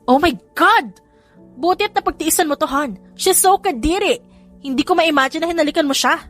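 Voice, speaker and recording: A woman's voice: high voice, normal speed, a bit bright, voice pushed up. A woman puts on a villainous mistress for an audiobook melodrama. The voice is raised, haughty and spiteful, sneering impatiently with bits of English, over faint music. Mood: impatient disgusted. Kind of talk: audiobook drama dialogue.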